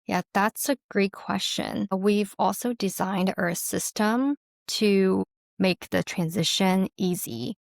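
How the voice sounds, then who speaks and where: Creaky-voiced woman explaining a product. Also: mid-range voice, slow, hardly any pauses, no room sound, studio quality. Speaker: company representative, interview guest.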